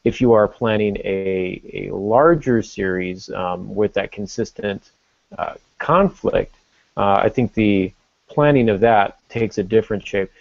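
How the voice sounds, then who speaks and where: Guy hosting a writing podcast, gravelly lowish voice, explains stuff slowly with pauses, dull lo-fi sound. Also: no echo. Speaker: podcast host and novelist. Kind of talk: casual podcast discussion about writing.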